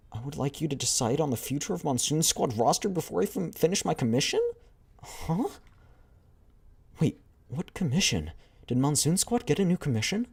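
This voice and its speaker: A man with a deep voice voicing an eager, excitable young female squad member who pleads and protests in a raised voice. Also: gravelly, husky, heavily acted. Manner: pleading, high-strung.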